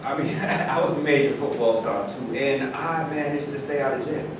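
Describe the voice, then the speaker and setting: A man's voice: normal speed, lightly acted. A man in a staged reading, playing a brash, dismissive former football star who talks in colloquial phrases. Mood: boastful. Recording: echoey room, distant mic, noisy rumble.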